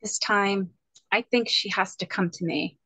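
A woman's voice: mid-range voice, fairly even intonation, unhurried, pushed and bright, breathy edge, no echo. A middle-aged woman playing an earnest, lovelorn woman putting on a brave face in a screenplay table read. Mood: brave, resigned. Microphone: video call microphone.

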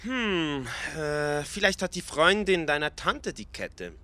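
A man's voice: a little high, very animated, slow, bright, forceful tone, no room sound, exaggerated voice. A man acting an aunt's hesitant, questioning ex-husband in an amateur radio play. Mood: defensive, hesitant. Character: aunt's remarried ex-husband, taken aback by accusations.

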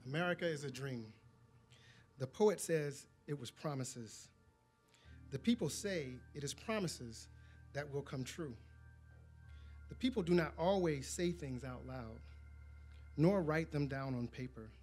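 A man's voice: fairly high pitch, animated, a little slow, pauses often, a little mellow. A man in his forties reciting poetry at a podium microphone in an indoor event room. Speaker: community reader at a podium. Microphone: podium microphone on a stand. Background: faint background music.

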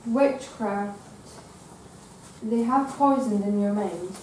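A girl playing a slow, suspicious knight. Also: mid-range voice, darkish tone, a little hiss or hum, lightly acted. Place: school drama room, indoors. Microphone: far camera. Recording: very echoey room from far off.

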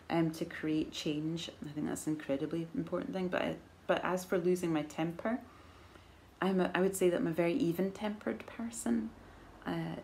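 Woman, 40s, soft Edinburgh Scottish accent, low-pitched, thoughtful, home vlog to camera. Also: slight background noise. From Scotland. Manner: thoughtful, measured, gently laughing, frequent fillers. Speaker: knitting vlogger answering viewer questions. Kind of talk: casual chat to camera. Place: living room at home, indoors. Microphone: camera in front at arm's length.

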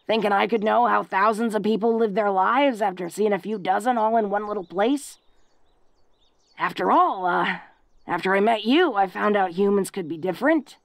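A woman's voice: medium pitch, creaky, dry recording. A woman playing a tough but awkward, tender-hearted young female orc in a romantic audio roleplay, speaking in a folksy, hesitant, earnest way. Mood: earnest, musing.

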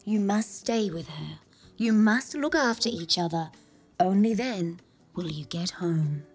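An actress playing a cryptic, portentous but bumbling messenger, theatrical and halting, in a comedy audio drama. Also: female voice, mid-range voice, lively intonation, breathy edge, soft background music.

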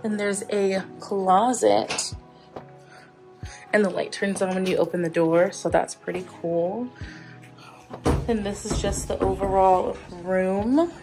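A woman's voice: mid-range voice, takes it slowly, long pauses. Young woman filming herself on her phone in a hotel room, chatty, listing stuff off casually, faint music under it. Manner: chatty, listing things offhand. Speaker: travel vlogger. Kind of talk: casual vlog tour. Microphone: phone held at arm's length.

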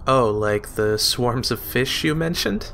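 A man playing a wry, skeptical lighthouse keeper in an audio drama, with dry, old-fashioned gentlemanly manners. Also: mid-range voice, animated, takes it slowly, creaky, slight background noise, heavily acted. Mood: curious. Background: music.

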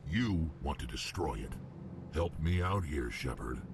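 An actor playing a huge, proud, embittered alien warrior in a video game, with a gravelly, menacing growl. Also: male voice, big pitch swings, background noise, full character voice. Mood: wounded anger. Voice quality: gravelly, rumbling. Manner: slow-burning, menacing. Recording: loud game music behind. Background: loud music.

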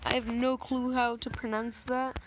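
A young woman casually commenting on a driving game, voice a little raspy from just waking up, rambling in a playful way. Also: on the high side, little pitch movement, moderate pace, background noise. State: slightly raspy, just woken up. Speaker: gameplay video maker. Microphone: phone screen recorder.

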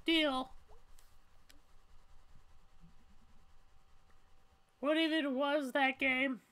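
Woman voicing a high-pitched, troubled young cat girl. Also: steady tone, takes it slowly, a bit bright. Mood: tired, casual. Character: troubled young female cat, weary and confessional. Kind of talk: amateur voiced playthrough of a story game.

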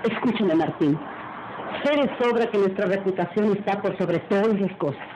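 A woman's voice: mid-range voice, moderate pace, lo-fi sound, close to an everyday voice. A woman playing a haughty, cold noblewoman in an old radio melodrama, imperious and formal. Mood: cold, insistent. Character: haughty, cold noblewoman, wife and mistress of mansion. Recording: old radio recording, faint noise.

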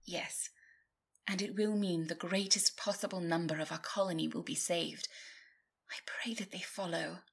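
A woman's voice: lowish voice, fairly even intonation, moderate pace, vocal fry, husky. A woman playing a haughty, easily offended griffin courtier in a fantasy audio roleplay, pompous and pleading. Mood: earnest, anxious. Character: haughty, indignant female griffin, devoted courtier.

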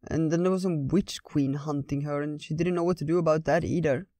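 A woman storyteller reading a fairytale as scripted, gentle narration. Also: deep voice, steady tone, fairly quick, dry recording.

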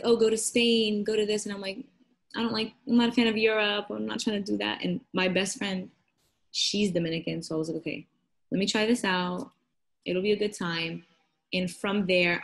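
A woman's voice: on the low side, vocal fry. A young woman from Florida, a university student, chatting on a video call and retelling events with quoted asides. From Florida, United States. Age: young adult. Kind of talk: casual video call chat. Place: indoor living room. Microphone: laptop webcam on a video call.

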